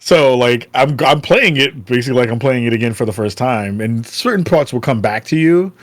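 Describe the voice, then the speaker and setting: A man in his forties hosting a casual podcast chat, easygoing and quick to laugh. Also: mid-range voice, expressive, dry recording. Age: 40s. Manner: laid-back, quick to laugh. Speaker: podcast host.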